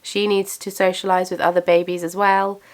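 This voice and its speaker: A woman's voice: on the low side, level tone, normal speed. A young woman chatting casually to camera about her craft business and plans, self-deprecating and a little congested from a cold. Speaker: craft business owner and vlogger.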